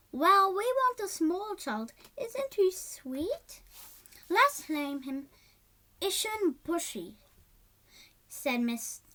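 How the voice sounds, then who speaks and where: A child reading a storybook aloud in a halting way, stumbling over words and repeating them. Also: very high-pitched, bright, forceful tone.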